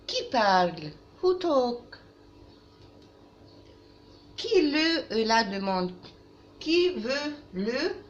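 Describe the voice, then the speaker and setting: Woman, language teacher, didactic, repetitive, slow delivery, lively intonation, dull roomy recording with faint noise. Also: on the high side. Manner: didactic, repeats phrases, switches into halting English. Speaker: French teacher. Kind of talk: language lesson for children, with repetition.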